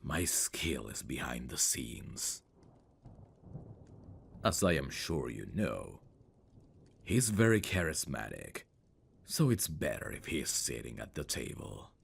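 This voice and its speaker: A man acting a very deep-voiced haughty prince. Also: very animated, heavily acted. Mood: composed, formal. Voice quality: gravelly, breathy low voice. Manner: formal, measured, condescending, commanding. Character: stern, haughty eldest prince, condescending royal schemer. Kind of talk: dramatic fantasy roleplay audio, ASMR.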